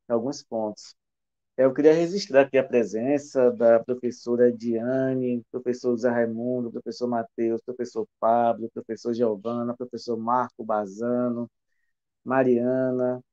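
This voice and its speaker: A man's voice: mid-range voice, warm tone. Hesitant man hosting an online debate. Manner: hesitant, many fillers and restarts. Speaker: event host and philosophy department coordinator. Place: small room indoors. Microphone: earphone headset on video call. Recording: dull lo-fi video call audio.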